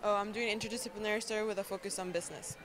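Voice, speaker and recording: A woman's voice: mid-range voice, fairly even intonation, racing, crowd noise. Young woman from Mexico answering questions. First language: Spanish. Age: young adult. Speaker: graduating high school student.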